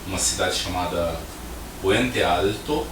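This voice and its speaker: A man's voice: deep voice, slow. A man from Chile, a guest on a talk show, chatting casually and laughing easily. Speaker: vice-president of an artists' association, guest. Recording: echoey, distant, with noise.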